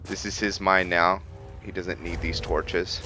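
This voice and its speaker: A man doing casual commentary as he plays a roleplaying game, musing aloud in a halting way about his character. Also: sits low, little pitch movement, music in the background. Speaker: gamer hosting a roleplay playthrough.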